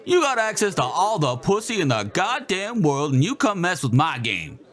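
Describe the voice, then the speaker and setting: A man's voice: high-pitched, very animated, moderate pace, fairly bright tone, some chatter behind, pitched above the performer's own voice. A man voicing a cocky, crude young quarterback who taunts his friends in a brash, profane way. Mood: taunting.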